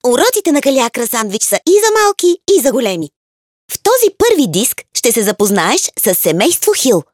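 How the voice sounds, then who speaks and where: A woman's voice: on the high side, sing-song, brisk, a little mellow, dry recording, clean studio sound. A woman narrating a children's language lesson in an upbeat, inviting way.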